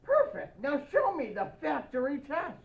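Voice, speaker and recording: Storybook Christmas matriarch, played by a woman: high-voiced, gracious yet commanding, declaiming, on a dull lo-fi recording. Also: huge pitch swings, unhurried, creaky, echoey room, full character voice. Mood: brisk approval.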